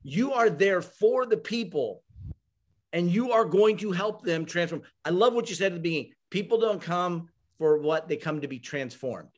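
Man, interview host, slightly high voice, warm praise, affirming manner. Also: lively intonation, normal speed. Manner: admiring, affirming. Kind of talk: conversational interview.

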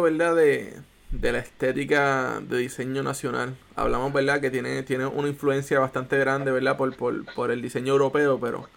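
Male interview host; slow, creaky, gravelly delivery, habitual tag questions. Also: medium pitch, a bit bright. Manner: leans on a tag question after every phrase.

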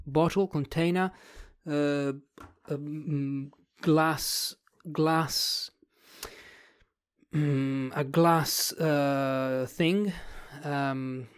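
Writing vlogger, mid pitch, dry close sound, musing and word-searching. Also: fairly even intonation, drawn-out words, clean tone, no echo. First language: Italian. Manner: thinks aloud, searching for words. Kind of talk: casual explanatory talk about a book.

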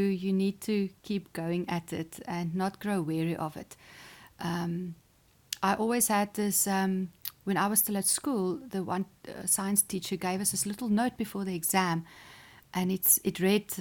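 A woman speaks at an ordinary mid pitch. Her is Afrikaans. She answers questions earnestly and encouragingly, with small restarts along the way. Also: fairly even intonation, a little slow, darkish tone. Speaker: chess player and sports psychologist. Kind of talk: interview answers.

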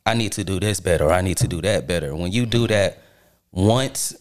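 A man from Charlotte, born in New York, talking as a podcast guest in an earnest, deliberate way. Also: low voice. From Charlotte, North Carolina, born in New York. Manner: earnest, deliberate, checks in with "right?". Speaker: guest musician. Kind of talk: casual conversation.

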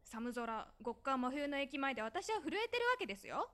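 A woman acting an exasperated, bossy and teasing high school girl in a Japanese voice drama, with an emphatic scolding manner. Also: very animated, normal speed, a bit bright. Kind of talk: Japanese voice drama phone-call dialogue.

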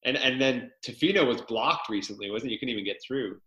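A man's voice: medium pitch, fast, bright, forceful tone, muffled recording. A man hosting a podcast interview, upbeat and enthusiastic, with loose filler-filled questions. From Canada. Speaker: podcast host. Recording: echoey room.

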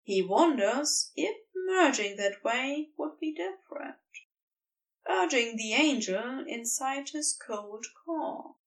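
A woman reading a tender romantic story as scripted narration, in a gentle, literary manner. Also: a little high, distant mic, rough recording. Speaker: fan fiction audiobook reader.

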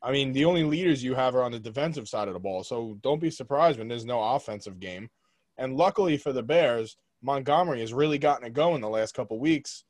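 A man's voice: medium pitch, a little mellow, dry recording. A young man co-hosting a casual sports podcast, blunt and joking, pushing strong opinions. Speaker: podcast co-host.

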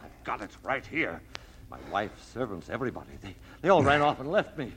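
A man's voice: medium pitch, sing-song, a little fast, natural voice. An actor playing a desperate rich man who frantically offers money to escape, in a 1950s radio drama with a noisy, echoey recording. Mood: abandoned, pleading.

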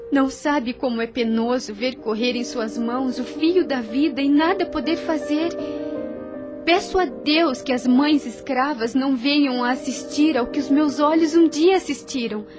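An actress playing a compassionate, grave young plantation lady in a radio drama, earnest and measured, with a Brazilian accent. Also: high voice. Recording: music under the voice.

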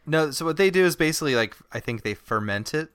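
Bright-voiced man in dry, casual chat. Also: a little high, animated, quick pace, clean tone, dry recording.

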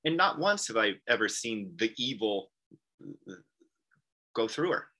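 A man with a low, forceful voice talks as an interview guest. He rambles through casual conversation, circling back on his points. He often breaks into short quoted voices of other people. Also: very animated, measured pace. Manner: rambling, repeats "you know what I mean".

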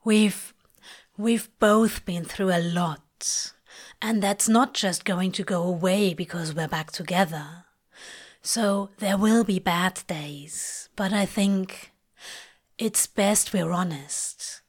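A woman voicing a laid-back, plain-spoken man in a storybook, gently reassuring and a little hesitant. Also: slow pace. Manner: low-key, matter-of-fact, hesitant.

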